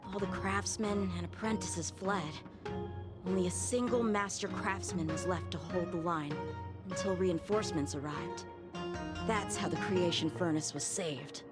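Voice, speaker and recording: A woman's voice: on the low side, unhurried, natural voice. A woman voicing an impatient young companion who talks back defensively and sharply. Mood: explaining. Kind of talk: video game voice acting. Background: game music.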